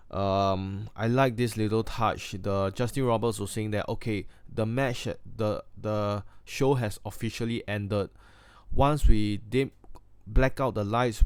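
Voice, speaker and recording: A man's voice: fairly low pitch, a little mellow, clean tone, dry recording. A man with a Singaporean accent giving a casual, blunt and crude spoken wrestling review, full of fillers and restarts.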